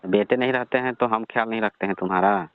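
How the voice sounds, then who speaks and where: A man's voice: on the low side, fast, smooth, no echo, lightly acted. A man playing a domineering, dismissive elderly father-in-law badgering with questions in a staged, conversational phone call. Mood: warning. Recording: dull, band-limited phone call recording.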